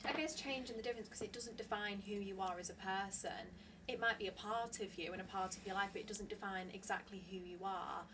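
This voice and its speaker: Woman co-host asking long thoughtful questions, mid pitch, noisy background. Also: brisk, hardly any pauses, a bit bright. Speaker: co-host interviewer. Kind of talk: casual chat.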